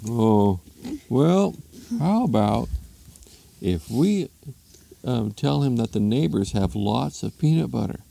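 A man's voice: medium pitch, animated, slow, creaky, long pauses, dark tone, pitched above the performer's own voice, exaggerated voice. A man voicing a puzzled, scheming husband in a comic story, conversational and mildly exasperated.

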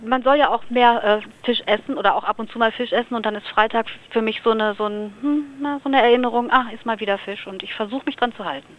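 Chatty woman from Lower Saxony. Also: fast, hardly any pauses. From Lower Saxony, Germany. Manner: chatty, thinking aloud with fillers. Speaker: interview guest. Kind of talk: relaxed radio interview answers. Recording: slightly dull radio recording.

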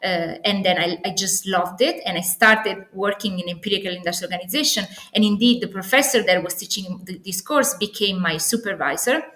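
A woman's voice: medium pitch, brisk, hardly any pauses, pushed and bright. Echoey Italian woman, conversational and enthusiastic. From Naples, Italy. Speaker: podcast guest, assistant professor. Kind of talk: conversational interview answers. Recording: echoey, roomy sound.

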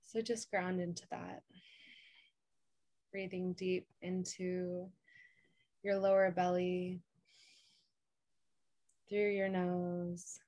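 A woman podcast co-host leading a calm guided meditation with spoken instructions. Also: fairly low pitch, extremely slow, pauses often, dry recording.

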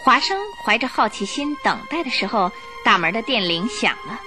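A woman's voice: fairly high pitch, animated, normal speed, over loud music. A woman giving dramatic scripted narration as the storyteller of a radio drama.

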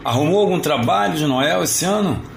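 Actor as creaky-voiced, animated old Rio Santa. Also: male voice, a little high, moderate pace. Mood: friendly concern. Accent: Brazilian, Rio de Janeiro. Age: older. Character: weary, wry retired mall Santa, encouraging old friend. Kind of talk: conversational audio drama. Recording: roomy echo, faint background noise.

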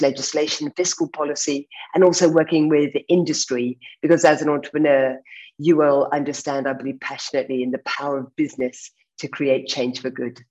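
A woman's voice: sits low, a little mellow, lo-fi sound. A woman speaking as a panel guest in a webinar, self-deprecating and passionate about her cause. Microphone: video call.

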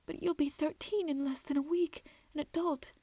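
Gentle mother troll, storybook, quiet and faltering, read by a woman. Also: fairly high pitch, dry recording. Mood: tearful. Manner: soft and halting. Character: tender, weeping mother troll.

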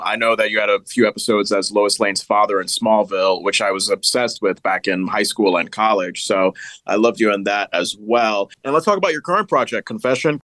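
A man's voice: fairly low pitch, a bit bright, no room sound. A man in his thirties hosting a podcast, asking questions in an eager, fan-like way with hesitant fillers. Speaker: podcast host. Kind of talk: casual interview questions.

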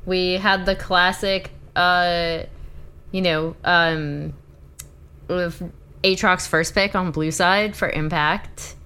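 A woman co-hosting a podcast from her apartment, sick, with her voice cracking and deeper than usual, chatting in a relaxed way. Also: takes it slowly, clear, resonant tone. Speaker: podcast co-host. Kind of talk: casual podcast chat.

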